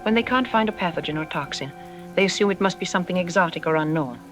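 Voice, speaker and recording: A woman's voice: lowish voice, expressive, fast, close to an everyday voice. Woman acting as a lady psych expert on a radio drama, sure of herself, lecturing, bit dismissive, music underneath. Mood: clinical, dismissive. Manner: didactic, confident, articulate. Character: self-assured female psychologist, calmly dismissive expert guest. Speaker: expert interview guest. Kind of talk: radio drama interview, measured explanatory delivery. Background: background music.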